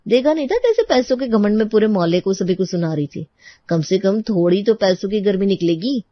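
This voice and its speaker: A woman plays a young housewife in an animated family tale, sly and full of teasing. The delivery is sarcastic and conversational. The sound is dry and a little dull. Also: medium pitch, fairly quick, dry recording, natural voice. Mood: mocking. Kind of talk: Hindi animated family drama dialogue.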